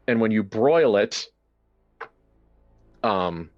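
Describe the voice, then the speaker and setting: A man improvising horror narration and casual chat in a tabletop roleplaying game, descriptive and halting as he sets a scene. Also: fairly low pitch, lively intonation, muffled recording. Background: faint music.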